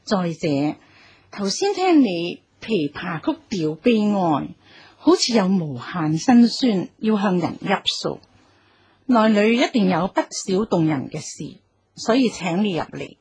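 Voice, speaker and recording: Stately empress dowager, Cantonese radio drama: slow, formal, commanding yet compassionate, widely varied intonation. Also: female voice, lowish voice, sing-song, a little mellow, breathy edge, dry recording, exaggerated voice. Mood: kindly, probing. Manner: formal, imperious, deliberate. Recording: music behind the voice.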